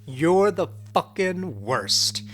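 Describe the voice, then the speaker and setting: An actor playing a gruff, sarcastic but protective partner in an audio drama, talking in a blunt, sweary, dry way. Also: medium pitch, big pitch swings, extremely slow, faint music behind. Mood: sarcastic banter.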